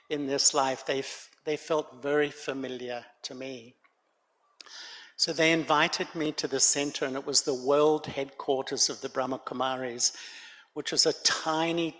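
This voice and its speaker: An older man, giving a gentle, reflective spiritual talk to a gathering at a meditation center. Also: mid-range voice. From Australia. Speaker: guest speaker. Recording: long hall reverb.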